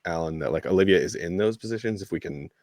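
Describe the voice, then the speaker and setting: A man with a deep voice talks sports casually on a podcast, recorded close and dry. His delivery is halting and wry, pausing as he builds each thought. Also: darkish tone, dry recording. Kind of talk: casual soccer chat.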